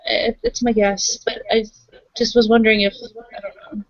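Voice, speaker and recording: A woman's voice: on the high side, little pitch movement, moderate pace, dry recording, dull audio. A woman improvising casually as a player in a role-playing game, tentative and thinking aloud. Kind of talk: casual improvised in-character chat.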